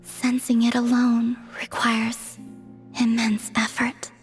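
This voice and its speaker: A woman voicing an aloof, serene young moon goddess in a game cutscene, speaking softly and formally in a measured way. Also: fairly high pitch, completely flat delivery, soft background music. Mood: serene, solemn. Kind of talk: video game cutscene voice acting.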